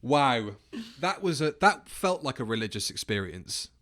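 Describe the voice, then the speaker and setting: A man hosting a podcast commentary in a casual, halting, sweary way, often correcting himself. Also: mid-range voice, sing-song, a bit bright. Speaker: podcast host.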